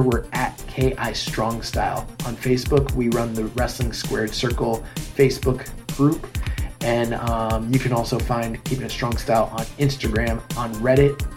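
A hoarse man talks through a wrestling recap on his podcast, casual and full of fillers. He is plainly excited about the match. Faint music sits behind his voice. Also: mid-range voice. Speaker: podcast host.

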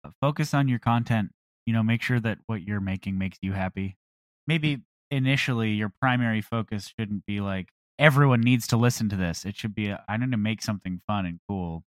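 A man offers casual advice as a guest creator in an interview. His voice is fairly low, and the sound is dry. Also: a little fast, dry recording.

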